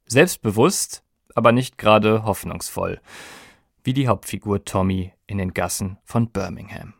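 A man's voice: fairly low pitch, unhurried, gravelly, no room sound, clean studio sound. A man presenting a scripted podcast documentary in an explanatory way, speaking directly to listeners. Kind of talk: scripted music documentary narration.

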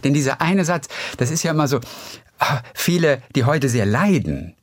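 Male interviewer, husky voice, lively melodic delivery, calm and affirming, dry room. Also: fairly high pitch, moderate pace, dry recording. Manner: calm, affirming, reflects with sayings. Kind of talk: interview conversation.

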